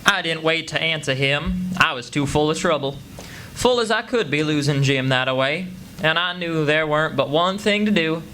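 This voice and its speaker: A man's voice: on the high side, normal speed, pushed and bright, a little hiss or hum. An actor playing a backwoods boy who narrates his tale in a folksy, plain-spoken way. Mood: troubled, determined. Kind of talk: scripted first-person radio play narration.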